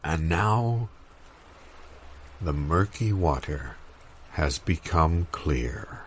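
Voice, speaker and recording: A man performing improvised audio comedy as a pompous guru of financial enlightenment, speaking in deadpan, portentous, halting phrases. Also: a bass voice, slight background noise, exaggerated voice. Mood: solemn. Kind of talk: improvised audio comedy, mock-meditative pronouncements.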